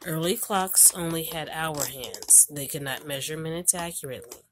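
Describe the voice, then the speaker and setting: A woman with a low voice reads aloud from an educational picture book for children. Her phrasing is deliberate, in the way of someone telling a storybook to young learners. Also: background noise. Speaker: homeschool parent reading a book aloud.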